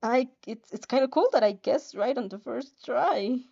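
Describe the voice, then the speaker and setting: Mellow-voiced woman reading fan fiction casually. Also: on the high side, moderate pace, vocal fry, slightly rough, highs cut off. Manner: giggly, stumbles over words while reading. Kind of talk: casual read-aloud with off-script reactions.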